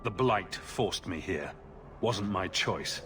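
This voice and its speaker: A man voicing a self-assured young human adventurer in a video game, direct and measured in his short questions. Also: sits low, expressive, a bit bright, slight background noise, exaggerated voice. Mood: grim.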